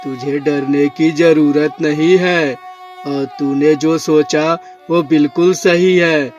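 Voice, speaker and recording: A man's voice: fairly high pitch, steady tone, slow pace, darkish tone, lo-fi sound, soft background music, pitched below the performer's own voice. A man voicing a kindly magical capsicum plant in a cartoon moral story, speaking in a solemn, reassuring way. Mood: benevolent, reassuring.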